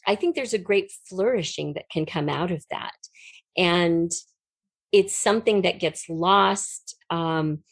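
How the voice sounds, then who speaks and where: A woman talking casually as an interview guest, telling anecdotes in an animated way with thoughtful pauses. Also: fairly low pitch, no echo. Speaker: podcast guest, audio drama writer and producer.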